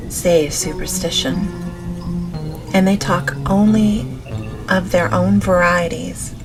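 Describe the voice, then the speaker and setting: Gentle, slow, even-toned woman narrator. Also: fairly low pitch, low-quality recording. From Canada. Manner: gentle, measured. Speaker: audiobook narrator. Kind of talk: gentle scripted audiobook narration of a classic gothic novel. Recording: music behind the voice. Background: music.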